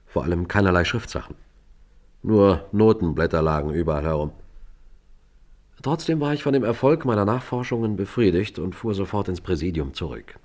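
An actor playing a dogged, brooding police sergeant who tells of his investigation in a measured, sober way in a German radio crime drama. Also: lowish voice. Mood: sober, matter-of-fact.

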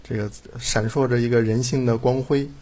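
A man's voice: mid-range voice, fairly even intonation, normal speed, warm tone. A man speaking as an interview guest in casual chat, rambling and repeating himself, often adding tag questions. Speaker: audio drama director, interview guest.

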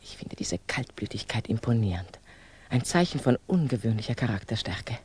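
An actress playing a composed, candid older female revolutionary in a 1960s German radio drama, measured, admonishing and probing. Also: extremely low pitch, lively intonation, darkish tone, breathy edge, exaggerated voice. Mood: earnest, certain.

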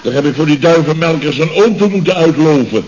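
An exasperated male boss played by a man in an old radio comedy, blustering with heavy sarcasm in a fairly high voice. The recording is muffled, with clear noise. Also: expressive, fairly quick, a little mellow, lightly acted. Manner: blustering, incredulous, heavily sarcastic. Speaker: comedy actor. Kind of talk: 1970s Dutch radio comedy acting.

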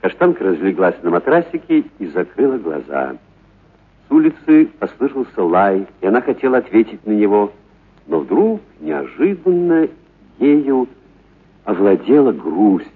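A man reading literary prose for radio as an actor, with dramatic, deliberate pauses and stressed words. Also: on the low side, very animated, husky. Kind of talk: expressive literary narration for radio. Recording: narrow, phone-like radio recording.

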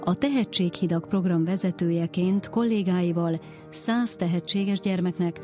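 A woman's voice: fairly low pitch, warm tone. A woman reading scripted narration as the presenter of a radio programme, with music behind her.

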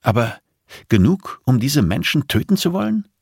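A conscientious woman, shocked and disbelieving, in an audiobook thriller, voiced by a man. The pitch sits above the narrator's own, and the manner is earnest, questioning and incredulous. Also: very animated, moderate pace, gravelly, darkish tone, breathy edge, no echo, clean studio sound, heavily acted. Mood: appalled, challenging.

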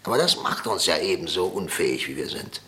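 An actor playing a weary, irascible middle-aged conservative politician in a radio drama, blunt and sardonic. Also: male voice, deep voice, lively intonation, a little fast, bright, forceful tone. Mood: bitter, agitated. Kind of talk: German radio drama dialogue.

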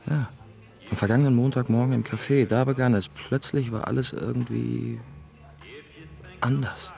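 A man's voice: mid-range voice, steady tone, moderate pace, creaky, darkish tone, soft background music, natural voice. An actor playing a troubled, self-mocking man recounting his story in a German radio drama. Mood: brooding, wry. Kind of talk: German radio drama acting, inner monologue.

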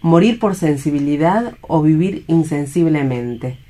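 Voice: mid-range voice, expressive, a little slow, warm tone. An Argentine host leading a round-table cultural discussion on the radio.

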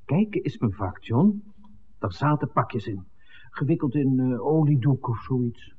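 Husky, wry radio-play sidekick. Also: male voice, mid-range voice, lively intonation, measured pace. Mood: wry relief. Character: Mark, wry hesitant cameraman hiding in a church. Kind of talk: 1960s Dutch radio drama acting. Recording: thin, phone-like old radio recording.

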